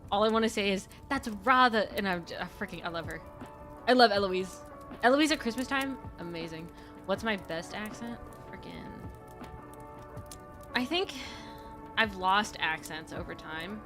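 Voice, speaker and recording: A woman's voice: medium pitch, a little slow, long pauses. A woman streamer in casual chat, rambling and laughing often with faint music behind her.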